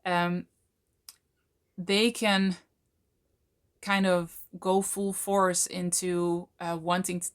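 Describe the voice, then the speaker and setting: A Dutch woman, a native Dutch speaker talking in English, explaining things to camera in a thoughtful way, with many fillers and slow, stretched words for emphasis. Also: lowish voice, level tone, smooth. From Netherlands. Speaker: channel host, coach. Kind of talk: casual explanatory talk to camera.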